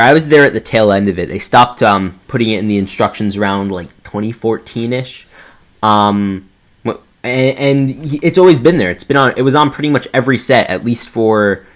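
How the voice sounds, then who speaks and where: A man hosting a fan interview show, speaking with excitable enthusiasm and often stammering over his word starts. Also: mid-range voice. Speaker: host of a toy fan video show. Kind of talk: enthusiastic interview and scripted introduction.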